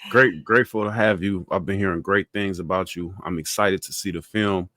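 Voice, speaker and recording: A man's voice: low-pitched, fairly even intonation, fairly quick, bright, forceful tone, no room sound. A man hosting a podcast, asking short, polite and encouraging interview questions. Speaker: podcast host.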